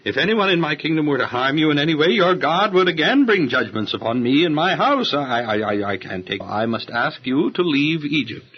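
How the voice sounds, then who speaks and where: A man's voice: fairly high pitch, very animated, brisk, few pauses, breathy edge, muffled recording. Man acting a blustering Egyptian king, wildly swooping voice. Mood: uneasy, dismissive. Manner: theatrical, exclamatory, sputtering. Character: imperious, blustering Egyptian king, easily flustered. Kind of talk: audio Bible drama acting.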